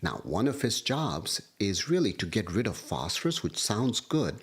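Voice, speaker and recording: A man, a doctor, giving a livestream health lecture, hoarse from a cold and walking through studies point by point. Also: fairly low pitch, big pitch swings, moderate pace. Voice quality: husky. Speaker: kidney doctor. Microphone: livestream setup.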